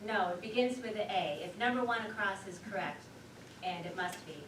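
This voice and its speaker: An actress on stage playing a scatterbrained, chatty wife, dithering yet sure of herself, with emphatic theatrical delivery. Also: female voice, medium pitch, brisk, natural voice. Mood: puzzled, insistent. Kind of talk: live one-act stage play acting. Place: theater stage, picked up from a distance. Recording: roomy, distant, noisy theater recording.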